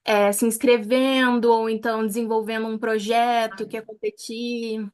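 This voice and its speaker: A woman's voice: a little high, level tone, measured pace. A woman with a Brazilian accent asking informal questions as an interviewer. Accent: Brazilian Portuguese.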